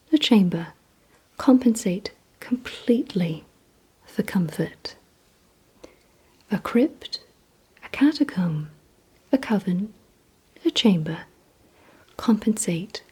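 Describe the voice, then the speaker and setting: A woman performs ASMR in a very breathy, almost entirely unvoiced whisper. She speaks slowly and deliberately, guiding the listener through instructions in short, hushed phrases. The tone is soft and mellow, with little edge to it. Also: mid-range voice, low-quality recording. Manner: slow, deliberate, hushed delivery with short pauses. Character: calm, softly commanding female vampire examiner. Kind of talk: whispered ASMR roleplay, giving instructions and word games.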